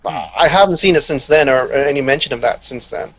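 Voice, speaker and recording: A man's voice: medium pitch, fast, a bit bright, low-quality recording. A man chatting casually, rambling along as he thinks back. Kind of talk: casual chat.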